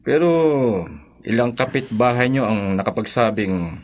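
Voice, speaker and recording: An actor playing a polite, sober police sergeant with a Filipino accent, formal and respectful in radio drama style. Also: male voice, medium pitch, a little slow, highs cut off, natural voice. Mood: grave, official.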